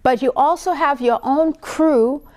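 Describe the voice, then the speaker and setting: A woman's voice: high voice, unhurried, a little mellow. A woman hosting an interview, asking questions and affirming answers with short acknowledgements. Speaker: interview host.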